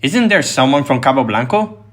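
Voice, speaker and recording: A male narrator voices a concerned father in an audiobook. He is plain and questioning, with a natural mid-range male pitch. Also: big pitch swings, normal speed, a bit bright. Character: worried American father of a bitten girl.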